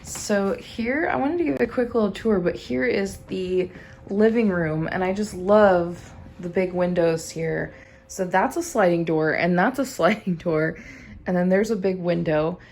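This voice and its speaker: A woman's voice: on the low side, unhurried, a little mellow, slight background noise. A young woman with a Midwestern accent, chatting casually to a handheld camera as she shows a vacation rental, with lots of fillers and light laughs. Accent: Midwestern American. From American Midwest. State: slightly raspy morning voice. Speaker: vlogger. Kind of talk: casual vlog chat. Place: vacation rental house and car.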